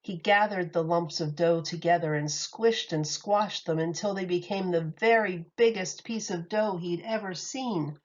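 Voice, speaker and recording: A woman teacher reading a children's picture book aloud in an expressive, lively way, in a slightly muffled recording. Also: on the low side, measured pace, few pauses.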